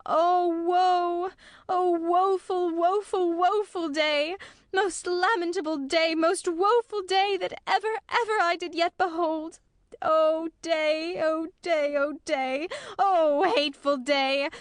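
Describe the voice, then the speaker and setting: A woman playing a grief-stricken old family nurse in a dramatic Shakespeare audiobook, lamenting in drawn-out cries. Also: extremely high pitch, fairly even intonation, dry recording, clean studio sound. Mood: wailing grief.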